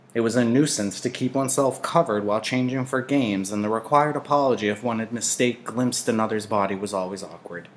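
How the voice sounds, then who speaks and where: A man reading a novel aloud in an expressive narrating style. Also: fairly low pitch, a little hiss or hum.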